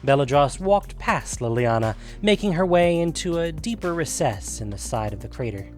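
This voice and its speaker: A man narrating a scripted fantasy story in a measured, dramatic storytelling manner. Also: a little high, lively intonation, soft background music.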